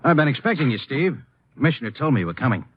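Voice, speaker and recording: An actor playing an eager, resourceful undercover agent with a casual, brisk manner in 1950s radio style. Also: male voice, mid-range voice, very animated, natural voice. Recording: dull old radio recording.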